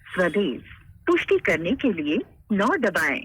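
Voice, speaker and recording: A woman reading scripted automated phone menu prompts in a formal, measured way, setting out each option deliberately. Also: fairly low pitch, very animated, slightly rough. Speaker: automated phone-line announcer.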